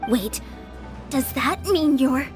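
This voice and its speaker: A woman voicing a spirited, earnest young heroine in an anime-style fantasy game, with expressive delivery. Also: high-pitched, takes it slowly, soft background music. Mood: startled, puzzled. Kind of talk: animated fantasy game acting.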